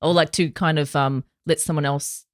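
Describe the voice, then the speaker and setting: A woman's voice: deep voice, fairly even intonation, moderate pace, no room sound, clean studio sound. A woman co-hosting a podcast, speaking hesitantly and agreeably with fillers. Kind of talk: podcast conversation.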